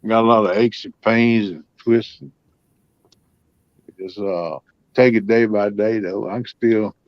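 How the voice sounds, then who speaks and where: A man's voice: fairly low pitch, fairly even intonation, a little slow, no room sound, lo-fi sound. Folksy man in his late fifties. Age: 50s. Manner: folksy, easygoing storyteller. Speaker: panel guest. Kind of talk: casual chat on a live stream.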